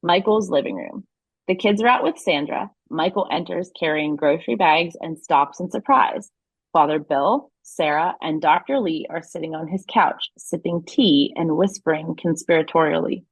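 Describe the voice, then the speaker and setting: A woman's voice: sits low, normal speed, breathy edge, no echo, low-quality recording. A woman narrating an audio play, reading scripted stage action and scene settings.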